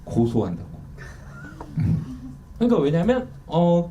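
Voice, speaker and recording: A man's voice: on the high side, mellow. A man in his thirties, an author, talking candidly and self-deprecatingly in a casual interview. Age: 30s. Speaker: author guest. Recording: distant mic with faint noise.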